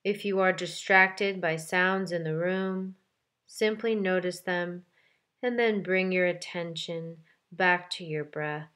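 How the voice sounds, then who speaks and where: A woman with a slightly low voice guides a meditation very slowly. She is calm and gentle, giving measured instructions and leaving deliberate space between phrases. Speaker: meditation guide, yoga teacher.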